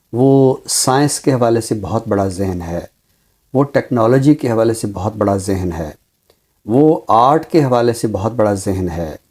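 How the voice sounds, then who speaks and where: Mellow-voiced man explaining his view. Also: lowish voice. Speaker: Urdu teacher, programme guest. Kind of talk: considered discussion, explanatory.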